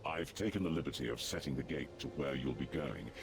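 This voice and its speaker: A man voicing a grave, loyal demonic warrior in a video game, stern and formal, with a gravelly voice. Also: extremely low pitch, lively intonation, brisk, noisy background. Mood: grave, resolute. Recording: music behind.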